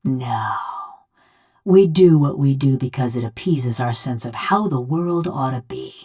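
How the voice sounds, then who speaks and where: A woman's voice: very deep voice, lively intonation, a little slow, warm tone, husky, heavily acted. An actress playing a wry, blunt, vengeful woman killer whose soul lives in a bronze body, speaking in a folksy rustic drawl for a video game. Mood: blunt, cynical. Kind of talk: video game character dialogue.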